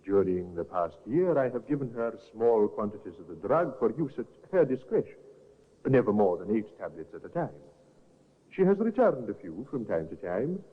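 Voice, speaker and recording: An actor playing a careful, formal doctor giving evidence in a 1950s radio drama. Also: male voice, on the low side, big pitch swings, normal speed, husky. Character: careful, apologetic male doctor witness. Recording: tinny old radio broadcast with reverb.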